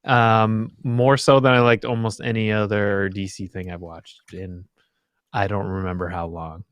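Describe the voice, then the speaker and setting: A man's voice: fairly low pitch, flat delivery, a little slow, a bit bright, dry recording. A young adult man chatting casually in a group, informal and loose with swearing. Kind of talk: casual group chat about superhero shows.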